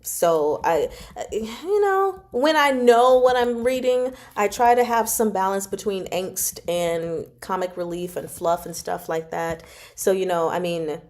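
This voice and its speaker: A woman chatting casually as a livestream host, informal and expressive, drawing out words for emphasis as she answers viewers. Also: medium pitch, measured pace. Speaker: host of a book club livestream. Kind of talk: casual chat, reading and answering viewer comments.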